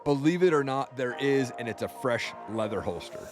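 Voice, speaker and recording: A man's voice: medium pitch, lively intonation, normal speed. Man, sponsor read, salesy, upbeat, music underneath. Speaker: ad reader.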